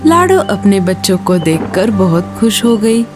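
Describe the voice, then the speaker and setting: धीमी, उतार-चढ़ाव भरी आवाज़ वाली महिला कथावाचक. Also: female voice, mid-range voice, very animated, measured pace, music in the background. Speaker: storyteller. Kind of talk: scripted children's story narration.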